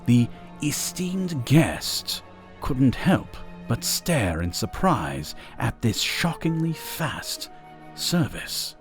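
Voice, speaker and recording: A man's voice: mid-range voice, sing-song, extremely slow, soft background music. A man narrating a fantasy audio drama in a scripted storytelling style.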